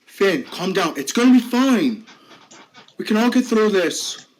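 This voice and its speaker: A man playing a gentle friend who speaks softly and soothingly, hesitating with small fillers. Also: high voice, big pitch swings, a little slow, slight background noise, pitched above the performer's own voice. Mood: calming. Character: gentle supportive male friend. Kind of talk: amateur drama acting.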